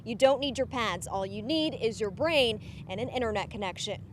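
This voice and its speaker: A woman's voice: fairly high pitch, lively intonation, moderate pace, noisy background. An American woman delivering a scripted report as a television news reporter.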